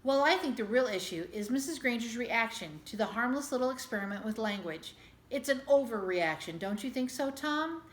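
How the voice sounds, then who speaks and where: A woman's voice: on the high side, expressive, normal speed, natural voice. A woman reader voicing a firm, reasonable, protective mother in a children's storybook, with a direct manner. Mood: indignant. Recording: echoey room.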